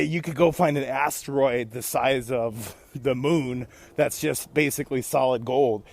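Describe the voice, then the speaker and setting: Laid-back gravelly male caller. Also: mid-range voice, no room sound. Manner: laid-back, enthusiastic slang. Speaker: caller, fellow podcaster. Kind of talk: voicemail message.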